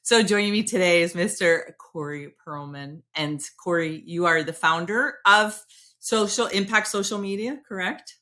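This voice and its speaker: A woman hosts a podcast interview, chatting with easy, rambling excitement. Her pitch sits low, yet her tone is bright and forward. She often laughs at herself as she talks. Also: normal speed. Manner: excitable, rambling, self-deprecating. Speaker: podcast host. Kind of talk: casual interview chat.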